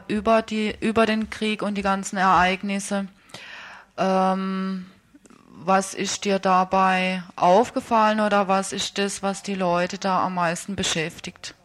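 A woman interviewing conversationally in a radio studio as the presenter. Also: medium pitch.

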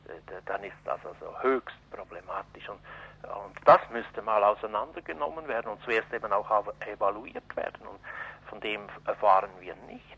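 A man giving spontaneous interview commentary, halting and searching for words, on a dull lo-fi recording. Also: lowish voice, expressive, vocal fry, slightly rough. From Switzerland. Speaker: interviewee, journalist.

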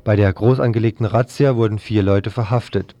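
A man's voice: lowish voice, steady tone, a little fast, dark tone, no echo. A man presenting a scripted news report on the radio.

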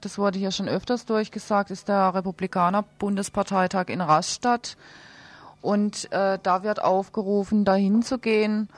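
A woman's voice: mid-range voice, no echo. A woman co-presenting a radio news programme, reading out reports and announcements.

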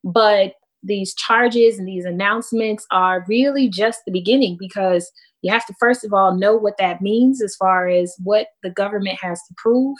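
A woman's voice: mid-range voice, moderate pace, low-quality recording. American woman explaining as a panelist.

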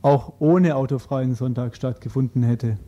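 A man's voice: mid-range voice, steady tone, normal speed, mellow. A man giving information in a radio discussion.

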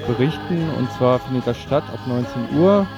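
A man hosting a radio programme, giving loosely worded, somewhat halting announcements. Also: mid-range voice, lively intonation, music in the background. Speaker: radio host. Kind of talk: unscripted community radio announcements.

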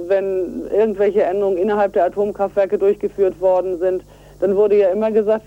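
A woman being interviewed on the radio over a telephone line as an environmental activist, explaining step by step in an earnest, emphatic manner. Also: medium pitch. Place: on a telephone call to a radio show.

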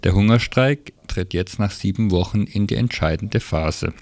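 Low-voiced man reading radio news. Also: moderate pace, a little mellow, no room sound. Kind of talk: reading a scripted news report.

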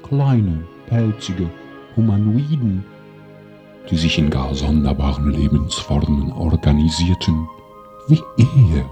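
A man's voice: very deep voice, animated, slow pace, dark tone, faint music behind. A man narrating a radio parody in a solemn, grandiose storyteller tone.

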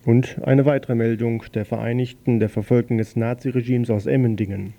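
Gravelly, dark-voiced male news reader. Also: mid-range voice, steady tone, faint music behind. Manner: plain, slightly halting reading with self-corrections. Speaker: news reader on a local radio station. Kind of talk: read news bulletin.

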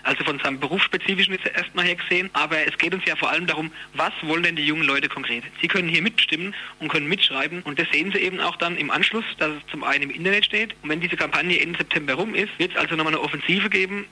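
Fast, forceful, husky man being interviewed. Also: fairly high pitch. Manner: colloquial, explanatory. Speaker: trade union youth secretary, interviewee. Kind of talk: spontaneous spoken interview.

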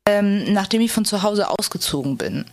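A woman's voice: medium pitch, animated, normal speed. A woman from Germany giving a reflective personal account in an interview, searching for her words as she goes.

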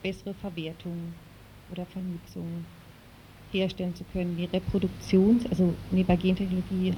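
A woman being interviewed, explaining things informally and colloquially, often halting to search for words. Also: on the low side, level tone, slight background noise. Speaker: interviewee knowledgeable on the subject. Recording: dull lo-fi interview tape.

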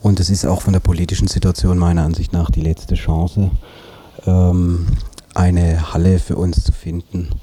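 A man giving spontaneous interview answers as a spokesman, deliberate and often pausing mid-sentence. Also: deep voice, little pitch movement, dark tone, breathy edge. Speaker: arts association spokesman, interview guest.

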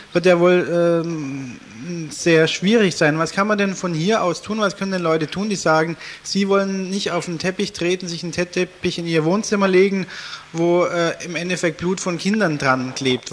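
A man interviewing on the radio in an informal, somewhat halting way. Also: fairly high pitch, a little hiss or hum.

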